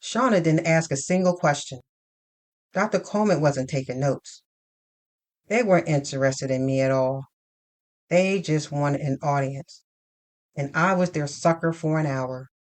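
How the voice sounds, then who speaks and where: Female narrator for scripted drama: deep register, unhurried, deliberate first-person storytelling. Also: darkish tone.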